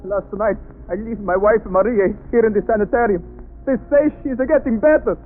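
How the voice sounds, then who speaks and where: A man's voice: sits high, normal speed, lightly acted. An actor playing a grief-stricken immigrant father and husband, crying out in a 1930s radio drama. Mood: anguished. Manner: anguished, crying out. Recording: tinny old radio broadcast.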